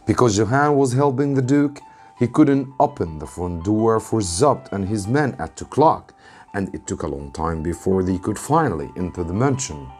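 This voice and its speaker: An Arabic-speaking man, an English teacher, reads a storybook aloud for students in deliberate short phrases with pauses. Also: lowish voice, lively intonation, darkish tone, slightly rough, faint music behind. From Egypt. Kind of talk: narrated storybook reading for students.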